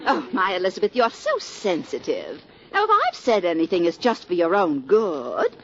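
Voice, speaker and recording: A woman's voice: lowish voice, wildly swooping pitch, moderate pace, a faint crowd, exaggerated voice. Fluttery, condescending mother-in-law, wildly swooping voice. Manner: affected fluttery sweetness with tittering. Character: meddling, condescending mother-in-law, sugary and needling. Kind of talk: 1940s radio comedy acting. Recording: muffled, noisy 1940s radio, studio audience.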